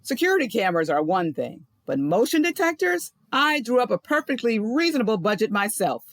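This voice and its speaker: Nosy female neighbor, combative and scolding, highish animated voice in a dry close recording. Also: fairly quick, dry recording. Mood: smug, scolding. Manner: blunt, scolding. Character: nosy, cranky female condo busybody, smug and combative. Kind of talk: audio comedy drama acting.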